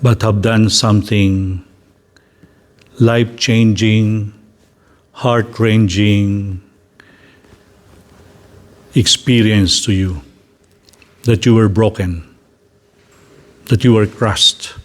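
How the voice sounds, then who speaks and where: Man, Filipino English accent, priest, slow deliberate delivery, soft inviting tone. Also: lowish voice, steady tone. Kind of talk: guided reflection talk.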